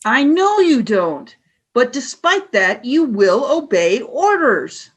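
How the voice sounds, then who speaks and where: A woman playing a cold, cruel alien commander in a radio play, speaking in a deliberate, scornful, commanding way. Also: high-pitched, very animated, slightly rough, exaggerated voice. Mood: stern, scornful. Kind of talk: audio drama radio play acting.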